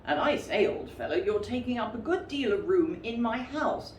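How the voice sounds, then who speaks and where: A woman voicing a brisk, stern, fussy male rabbit in a storybook, emphatic and matter-of-fact as he scolds. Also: a little high, sing-song, darkish tone, voice pushed up. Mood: cheerful. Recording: roomy, distant mic with faint noise.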